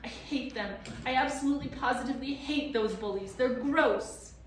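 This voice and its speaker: A woman's voice: fairly high pitch, sing-song, a little slow, far from the mic, slight background noise. A woman doing an indignant little girl. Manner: indignant, scolding. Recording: very echoey room.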